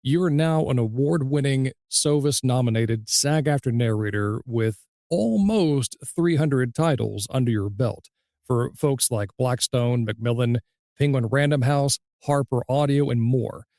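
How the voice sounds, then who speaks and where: A man hosting a casual podcast interview in an affirming, measured manner. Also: medium pitch, a little mellow, no room sound, studio quality. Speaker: podcast host, audiobook narrator.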